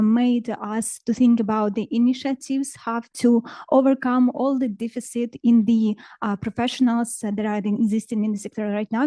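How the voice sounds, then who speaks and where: A woman from Ukraine, a ministry project manager presenting on a conference panel in halting with many pauses. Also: on the high side, dark tone, no echo. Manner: halting non-native English with frequent pauses.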